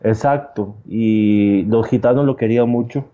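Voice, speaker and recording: A man talking conversationally as a guest, reflective and halting, often checking for agreement as he tells stories. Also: on the low side, mellow, highs cut off. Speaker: guest commentator. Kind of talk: conversational sports storytelling.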